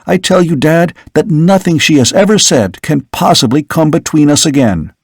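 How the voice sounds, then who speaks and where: A man's voice: on the high side, animated, moderate pace, husky, no echo, clean studio sound, pitched above the performer's own voice. A man reading an earnest young son in an audiobook, who pleads insistently and with passion. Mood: impassioned.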